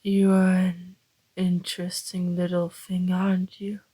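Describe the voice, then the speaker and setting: A woman voicing a flirty, teasing male vampire in an intimate ASMR roleplay, speaking in a deliberate half-whisper. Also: on the low side, monotone, dark tone, husky, no echo. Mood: teasing, menacing.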